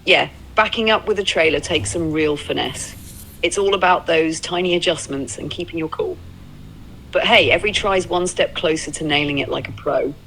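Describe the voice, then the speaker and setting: A woman chatting casually as a supportive, upbeat co-driver companion, with a slightly creaky voice. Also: deep voice, normal speed, fairly bright tone, a little hiss or hum, low-quality recording. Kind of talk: casual encouraging chat.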